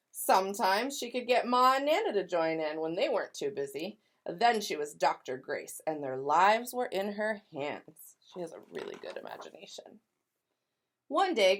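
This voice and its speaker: A woman educator giving school announcements and reading a children's picture book aloud, expressive and clearly paced for young listeners. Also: lowish voice.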